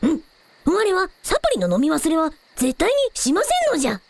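A woman's voice: sits high, very animated, fairly quick, creaky, a little mellow, a little hiss or hum, exaggerated voice. A woman voicing a small, proud, good-natured dragon prince with childlike, quaint, lordly old-fashioned speech in an audio drama. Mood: earnest, proud.

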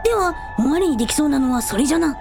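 An earnest little dragon in a radio drama. The voice is extremely high and gravelly, swinging up and down through archaic, solemn phrases, with music behind it. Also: female voice, lively intonation, fast talker. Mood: earnest, questioning. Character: small earnest dragon with archaic, old-fashioned speech.

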